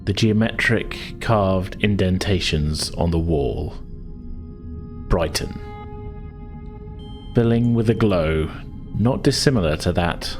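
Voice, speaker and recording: A very low-voiced man runs a tabletop sci-fi game as game master. He describes scenes conversationally and feels his way through phrases, with music clearly playing behind him.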